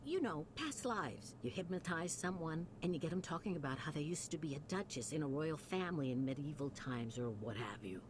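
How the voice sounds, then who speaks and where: A woman's voice: low voice, lively intonation, a little fast, few pauses, background noise. An actress playing a chatty, suspicious older woman who rambles in conversation, as voice acting for a video game.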